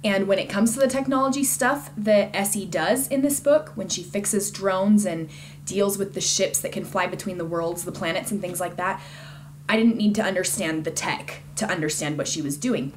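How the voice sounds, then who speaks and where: A woman's voice: mid-range voice, normal speed, a little hiss or hum. A woman giving a casual, conversational book review in an informal and enthusiastic way.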